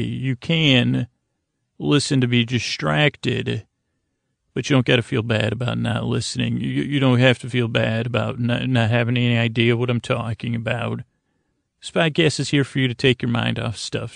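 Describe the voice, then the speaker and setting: A man with a creaky, gravelly voice hosting a bedtime podcast in a rambling, halting monologue, often interrupting and restarting himself. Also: mid-range voice, no room sound. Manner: halting, meandering, self-interrupting with many restarts. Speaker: podcast host. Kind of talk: rambling bedtime monologue to keep listeners company.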